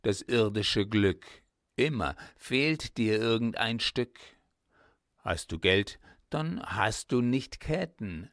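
A man reciting poetry expressively, in a deliberate, theatrical manner with dramatic pauses and shifting emphasis. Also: mid-range voice, no room sound, studio quality. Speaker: audiobook reader of poetry.

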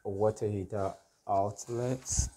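Slow, low-voiced man. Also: steady tone. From Ghana. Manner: halting, itemising. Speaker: electrician giving a house tour. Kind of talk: casual walk-through explanation. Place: indoors, unfinished house with bare walls. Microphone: handheld phone or camera. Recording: noisy handheld recording in bare room.

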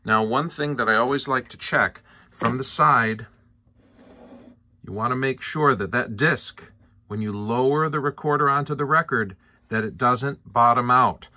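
A man's voice: mid-range voice, a little slow, sharp tone. A man giving a casual hands-on demonstration at an indoor workbench, explaining methodically one step at a time. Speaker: presenter of a hands-on tutorial. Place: indoor workshop bench.

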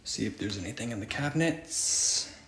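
A man narrating his walk through abandoned buildings and old vehicles, excited and talking straight to viewers, filmed on a handheld phone. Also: lowish voice, moderate pace, a little hiss or hum. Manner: excited, keeps addressing viewers as "guys". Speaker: urban explorer vlogger. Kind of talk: walkthrough narration while exploring.

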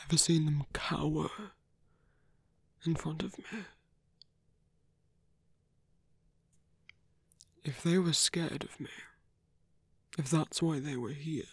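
Man playing a husky, whispery seductive villain. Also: fairly high pitch, no room sound, studio quality. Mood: tender, persuasive. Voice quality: breathy, husky, often whispering. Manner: slow, intimate, persuasive. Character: seductive, calm male villain leader, coaxing his captive hero. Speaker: voice actor. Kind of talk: ASMR roleplay monologue. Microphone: close, dry microphone.

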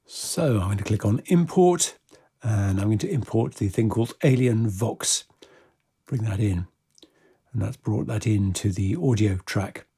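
A man presenting a software tutorial step by step, in a methodical, informal way. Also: fairly low pitch, unhurried, breathy edge.

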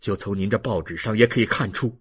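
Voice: medium pitch, quick pace, husky, pitched below the performer's own voice, lightly acted. A narrator voicing an earnest, passionate male baron in an audiobook, with emphatic, declamatory delivery. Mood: defensive. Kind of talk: audiobook character acting.

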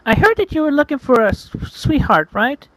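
Young woman played by an amateur storyteller, forward, chatty, raised pitch. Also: big pitch swings, brisk, fairly bright tone, poor audio. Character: young woman feigning romantic interest. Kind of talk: amateur acted-out story dialogue.

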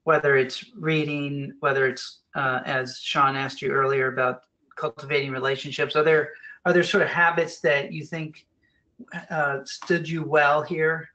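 A man's voice: on the high side, flat delivery, measured pace, pushed and bright, rough recording. A man moderating a conference call, who hands the floor to guests and puts questions to the speaker, agreeing often with short replies. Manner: encouraging, with frequent short affirmations.

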